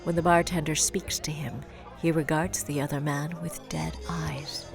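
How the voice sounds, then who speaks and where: A woman's voice: deep voice, flat delivery, normal speed, creaky, music in the background. A woman acting as the grave, brooding narrator of an audio drama, reading dramatic scripted narration. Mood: somber, measured.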